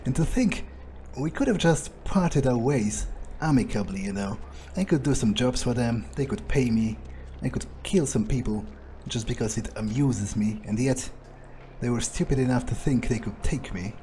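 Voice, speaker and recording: A man's voice: medium pitch, expressive, moderate pace, mellow, slight background noise, low-quality recording. A man giving in-character commentary on a video game, thinking aloud in a cocksure, sweary way. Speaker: gamer playing in character. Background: faint game music.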